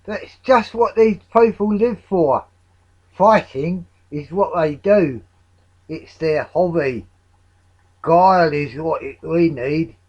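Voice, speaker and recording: A man voicing a fussy, excitable cricket fan in a storybook, speaking emphatically and with agitation. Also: fairly high pitch, slow, muffled recording. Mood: earnest.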